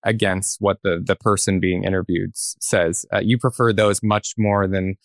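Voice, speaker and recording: A man's voice: deep voice, fairly even intonation, moderate pace, no echo, studio quality. A man chatting casually as a podcast guest, tentative and questioning. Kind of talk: casual discussion.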